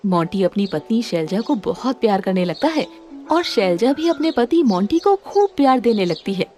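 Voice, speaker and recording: A woman's voice: medium pitch, very animated, normal speed, mellow. A woman reading a scripted moral story as its narrator, with music under her voice.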